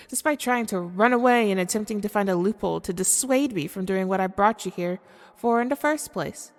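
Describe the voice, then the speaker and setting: A woman's voice: medium pitch, lightly acted. Woman voicing a seductive demon in cavernous reverb. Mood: probing, teasing. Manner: formal, deliberate, coaxing. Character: seductive, manipulative female demon hungry for hearts. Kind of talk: scripted ASMR audio roleplay monologue.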